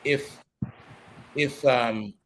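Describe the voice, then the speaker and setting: A man from Trinidad and Tobago, hosting a sports discussion in a deliberate, earnest way with probing questions. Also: mid-range voice, fairly even intonation, noisy background. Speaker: sports show host. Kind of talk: discussion and interviewing.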